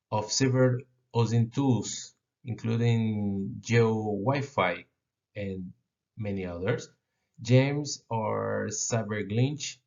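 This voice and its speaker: Slow, gravelly Brazilian man presenting. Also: medium pitch, darkish tone, muffled recording. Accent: Brazilian Portuguese. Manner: halting non-native English with fillers and restarts. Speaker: conference speaker. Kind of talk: conference presentation.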